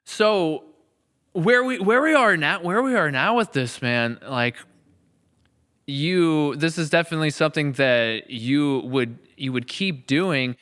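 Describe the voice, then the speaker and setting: A man's voice: on the high side, a little slow, fairly bright tone. A man hosting a podcast in casual, reflective conversation, speaking earnestly and often rephrasing himself as he goes. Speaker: podcast host and audio drama series creator.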